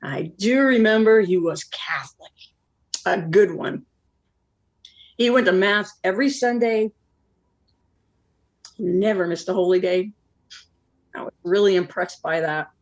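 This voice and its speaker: Woman acting a wry, world-weary jilted woman, unhurried. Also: mid-range voice, dull audio, lightly acted. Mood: wry, reminiscing. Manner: candid, rueful, deadpan asides. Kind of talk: dramatic monologue in a one-act play.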